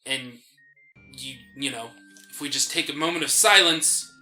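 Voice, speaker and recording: A man's voice: medium pitch, moderate pace, pushed and bright. A man chatting casually as the co-host of a game playthrough show, with game music behind him. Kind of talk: casual chat. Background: video game music.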